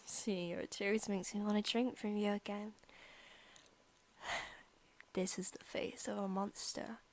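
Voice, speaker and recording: Slow, creaky-whispering obsessive vampire played by a woman. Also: mid-range voice, little pitch movement. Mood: sadistic, savoring. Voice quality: breathy, whispery. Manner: soft, menacing, teasing, often dropping into whispers. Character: obsessive, sadistic centuries-old female vampire posing as student. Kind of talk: ASMR roleplay acting.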